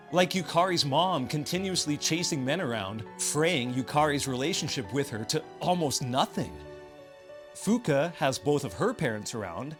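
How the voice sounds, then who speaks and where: A man delivering scripted video game commentary in a sardonic, wry way with exaggerated emphasis. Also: a little high.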